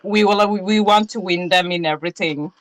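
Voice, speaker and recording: A woman from Finland with a strong Finnish accent, chatting as a guest, giggling often and pausing to search for words. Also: on the low side. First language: Finnish-Swedish bilingual. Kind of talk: casual interview chat.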